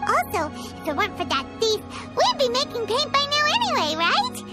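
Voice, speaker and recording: A little floating fairy sidekick from a video game. The voice is childlike, squeaky and piercingly high, leaping animatedly all over the scale. The manner is chatty, frank and chirpy, with prominent music playing behind. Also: female voice, wildly swooping pitch, a little fast, exaggerated voice. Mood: grumbling. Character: small childlike floating fairy companion, female, chatty and excitable. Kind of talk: video game character acting.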